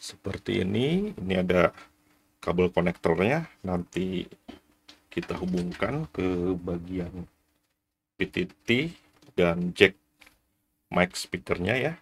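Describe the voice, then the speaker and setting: A man explains gear in a casual review style. His voice is low and husky, with a gravelly creak. He talks to the camera in an explanatory way, tagging his phrases as he goes. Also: lively intonation, takes it slowly, pauses often. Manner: frequent "ya" tags, explanatory.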